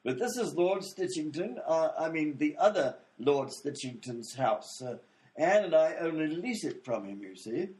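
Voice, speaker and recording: Guy doing a polite old-fashioned gent, kinda high voice, flustered and fussy, very lively, radio comedy. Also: moderate pace, close to an everyday voice. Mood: flustered, genial. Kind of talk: comedic radio play acting.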